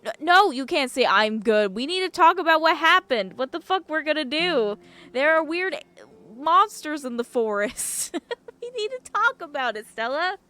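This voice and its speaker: A woman's voice: sits high, animated, normal speed, pushed and bright. A woman streamer casually reading a game's story aloud, with playful, informal, sweary reactions.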